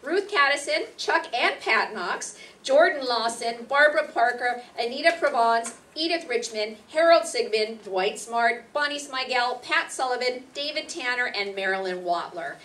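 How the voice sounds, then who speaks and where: A woman's voice: a little high, animated, normal speed, fairly bright tone. A woman host and instructor giving welcoming announcements to a live audience in an indoor room. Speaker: acting instructor and event host. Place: meeting room stage, indoors. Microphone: far camera. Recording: distant camera mic, clear background noise.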